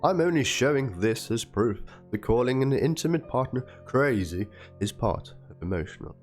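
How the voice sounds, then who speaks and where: A man's voice: medium pitch, expressive, moderate pace, a little mellow. A man narrating a written document aloud as a dramatic reading, with theatrical delivery. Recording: music under the voice. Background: background music.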